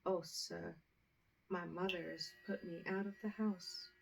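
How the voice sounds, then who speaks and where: A woman's voice: on the low side, steady tone, unhurried, vocal fry, faint music behind, poor audio. A woman voicing a polite, meek young girl who speaks humbly.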